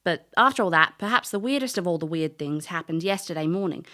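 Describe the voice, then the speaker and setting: A woman's voice: lowish voice, lively intonation, quick pace, sharp tone. A woman playing a brash, wry female adventurer in an audio drama, with a chatty, flippant manner. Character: brash, wry female adventurer turned detective, narrating her letter. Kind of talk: audio drama narration and dialogue.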